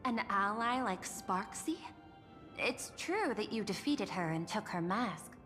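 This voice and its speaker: A woman playing a composed, calculating android executive in a video game, speaking in a measured, formal, articulate way. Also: medium pitch, animated, sharp tone. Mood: composed, analytical. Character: composed, calculating female android executive and art-seeking administrator. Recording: long reverb, music behind the voice.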